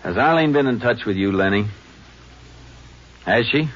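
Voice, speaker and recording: An actor playing a calm, clipped Texas lawman who questions witnesses and narrates in a 1950s radio drama. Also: mid-range voice, normal speed, slight background noise, natural voice. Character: terse, steady lawman in his prime. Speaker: Texas Ranger, also narrator. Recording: dull lo-fi old radio broadcast.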